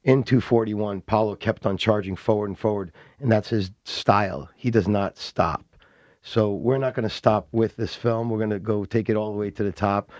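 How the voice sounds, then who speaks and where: A man's voice: on the low side, steady tone, moderate pace, darkish tone, dry recording. A man speaking as a podcast guest in a studio, rambling with fillers and restarts. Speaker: interview guest, film director. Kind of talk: casual interview. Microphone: studio mic on boom arm.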